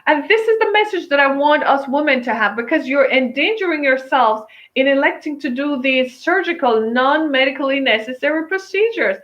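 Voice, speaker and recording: A woman's voice: high-pitched, lively intonation, normal speed, hardly any pauses, fairly bright tone. A woman in her fifties talking casually to viewers, emphatic and preachy, repeating her phrases for effect. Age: 50s. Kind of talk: casual opinion talk to viewers. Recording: dull lo-fi sound.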